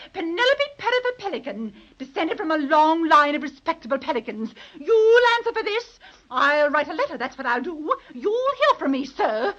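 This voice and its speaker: Brief: pompous aristocratic pelican lady, indignant, wildly swooping high voice, dull 1930s radio sound. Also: fairly bright tone. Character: haughty snobbish female pelican. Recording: muffled 1930s radio transcription.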